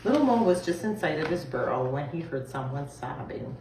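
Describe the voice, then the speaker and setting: A woman reading a children's story aloud in an expressive style, recorded in an echoey room. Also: sits low, brisk. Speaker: storybook reader. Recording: echoey room, distant mic, faint noise.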